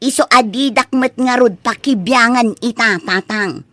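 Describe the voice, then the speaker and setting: A woman's voice: fairly high pitch, unhurried, a bit bright, no echo. A woman with a Filipino accent playing an outspoken, disapproving adult daughter in a comedy radio drama, insistent as she argues. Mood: defiant. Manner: insistent, protesting.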